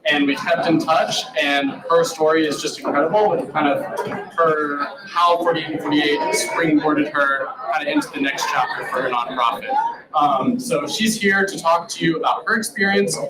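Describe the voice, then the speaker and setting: A man's voice: on the high side, moderate pace. A man casually introducing a guest speaker at an event, with friendly, filler-laden talk. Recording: echoey room, distant mic, crowd behind.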